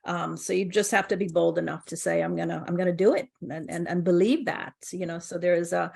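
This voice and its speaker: A woman's voice: fairly low pitch, brisk, gravelly, darkish tone, slightly rough. A middle-aged woman with a Jamaican accent, speaking as a co-founder in a casual interview, laughing often and restarting her sentences. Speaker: co-founder and guest.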